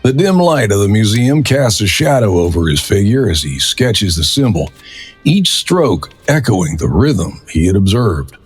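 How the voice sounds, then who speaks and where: Husky male crime narrator, expressive pitch. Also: unhurried, faint music behind. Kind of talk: dramatic scripted crime narration.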